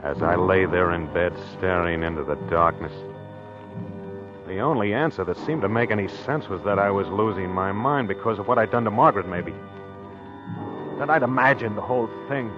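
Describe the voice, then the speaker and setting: An actor playing a haunted, obsessive husband in a halting, confessional 1940s radio drama style. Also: male voice, deep voice, over music, natural voice. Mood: brooding, haunted. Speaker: actor narrating in character. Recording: muffled old 1940s radio recording.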